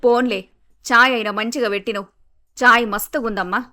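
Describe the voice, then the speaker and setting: A woman's voice: a little high, steady tone, fairly quick, pushed and bright, faint music behind. A woman playing a bossy, grumpy middle-aged mother-in-law with a Telangana Telugu accent, blunt and scolding, in a story cartoon. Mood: grudging approval.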